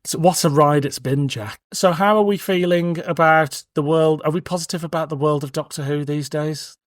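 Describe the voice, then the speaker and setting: A man's voice: fairly high pitch, steady tone, moderate pace, no room sound, clean studio sound. A man hosting a review podcast in a casual, chatty style. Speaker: podcast host.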